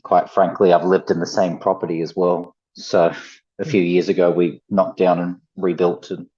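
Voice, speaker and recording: A man's voice: deep voice, flat delivery, normal speed, mellow, lo-fi sound. A man in his thirties from the Gold Coast with an Australian accent, chatting casually as a podcast guest. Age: 30s. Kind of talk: casual conversation. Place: at home, main living space.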